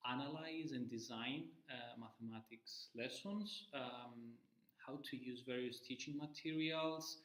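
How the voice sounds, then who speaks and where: A man from Cyprus who lectures in primary mathematics, introducing his part of a course in a measured way, with frequent uh pauses. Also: mid-range voice, reverberant, poor audio.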